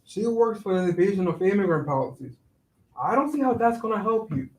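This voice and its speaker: A man's voice: high voice, lively intonation, fairly quick, darkish tone. An actor playing a cautious, skeptical young father in a staged reading, answering his friend with blunt slangy retorts. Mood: incredulous, wary. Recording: roomy echo, distant microphone.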